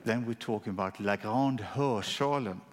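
A man's voice: lowish voice, normal speed. A man formally introducing a guest of honor in a large concert hall, speaking in a grand, rhetorical way. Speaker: event presenter. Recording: long hall reverb.

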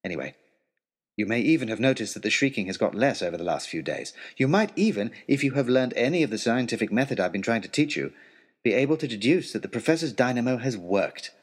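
Man playing indignant, lovesick tutor with swooping pitch. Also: husky. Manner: pompous, wordy, aggrieved, theatrical. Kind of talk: scripted comic narration as a letter read aloud.

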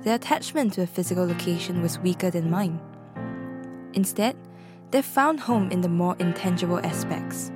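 A woman from Singapore reading scripted documentary narration in a measured, reflective way. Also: fairly low pitch. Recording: voice-over with music behind.

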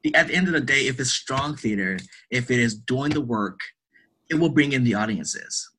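A man with a bright, forward voice gives conversational interview answers. He is earnest and emphatic, often repeating a phrase to drive it home. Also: medium pitch, animated, normal speed, husky, poor audio. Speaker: guest, theatre director and artist.

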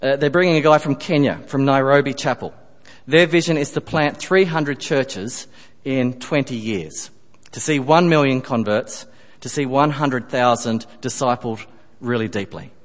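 A man preaching a sermon, emphatic, rising on key phrases and dropping to quiet asides. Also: mid-range voice, normal speed. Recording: long reverb of a church hall.

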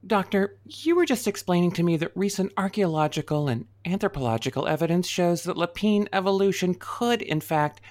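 A man's voice: high voice, animated, brisk, few pauses, a little mellow. A man playing a polite, curious rabbit who hosts a radio interview show, with a presenter's delivery, in a radio play. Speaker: host.

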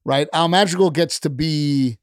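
A man's voice: a little high, normal speed, smooth, no echo, studio quality. A middle-aged man, a stand-up comedian and podcast guest, talking bluntly and heatedly in casual chat, with plenty of profanity. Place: podcast studio.

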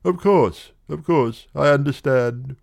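A man's voice: mid-range voice, takes it slowly, vocal fry, a little mellow, muffled recording, pitched above the performer's own voice. A man reading aloud, voicing an eager, earnest young cash clerk in love. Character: shy, devoted young male cash clerk. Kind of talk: audiobook reading.

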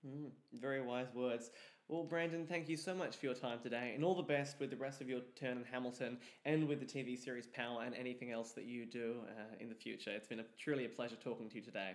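A man's voice: mid-range voice, fairly even intonation, fast talker. A man hosting an interview podcast, speaking politely and courteously in a conversational way. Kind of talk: conversational interviewing and show presenting.

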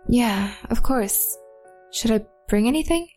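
A nervous woman, in an audio drama. She speaks slowly in a mellow, slightly high voice, eager to comply. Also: lively intonation, soft background music. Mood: worried. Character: nervous, compliant female hotel guest.

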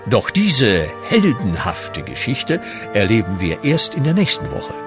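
A grand narrator for a comic radio serial. His voice is low and unhurried, swelling with pompous drama over prominent music. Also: huge pitch swings, full character voice. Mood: mock solemn. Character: grand, mock-solemn male storyteller of a cosmic serial.

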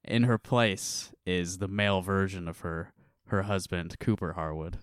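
Deep-voiced deadpan podcast host man. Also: measured pace, clean tone, dry recording. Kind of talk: casual comedy podcast chat.

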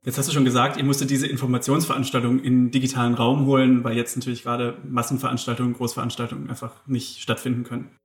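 A man from Berlin hosting an interview, asking his guest measured, even questions about planning. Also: medium pitch. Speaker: podcast host. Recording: roomy echo.

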